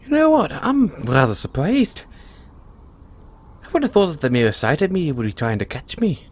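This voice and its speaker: A cheerful leprechaun, played by a man speaks straight to the listener in an audio roleplay. His voice sits a little high and leaps up and down dramatically. He is kindly and a touch sly, with a teasing, folksy way of talking. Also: very animated, moderate pace, darkish tone. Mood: curious, sly. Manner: chuckling, teasing, folksy talk.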